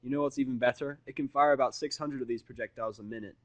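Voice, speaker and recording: A man's voice: mid-range voice, steady tone, racing, no echo, close to an everyday voice. A man playing a defiant, foul-mouthed human who bluffs and scolds in a brash, sarcastic, confrontational way in an audio story. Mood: bluffing.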